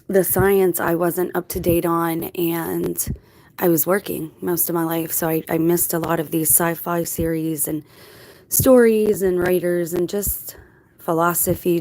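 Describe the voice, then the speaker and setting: A woman's voice: lowish voice, dark tone, rough recording. A woman hosting her own channel, talking casually to viewers in a reflective, digressive, explanatory way. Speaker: video channel host. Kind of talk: casual rambling monologue to viewers.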